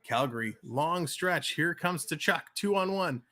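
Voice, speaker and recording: A man's voice: a little high, expressive, a little slow, sharp tone. A man from the Toronto area with a Canadian accent, hosting a livestream with casual play-by-play while chatting with viewers. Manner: chatty, reads chat aloud, laughs easily. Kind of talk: casual play-by-play with live chat.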